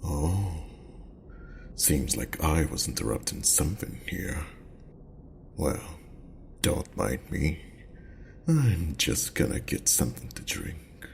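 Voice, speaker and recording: A man voicing a menacing, mocking gangster in an ASMR audio roleplay, with a slow, gravelly drawl that taunts. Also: rumbling low, lively intonation, full character voice. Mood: sly, nonchalant. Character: menacing, mocking gangster stepbrother, leering at a captive.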